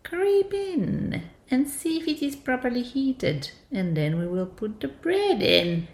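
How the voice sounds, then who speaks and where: A woman voicing a wicked, scornful old witch in a storybook reading, with a loud, drawn-out delivery. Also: high-pitched, big pitch swings, heavily acted. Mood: sly. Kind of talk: fairy tale storybook reading. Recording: echoey room.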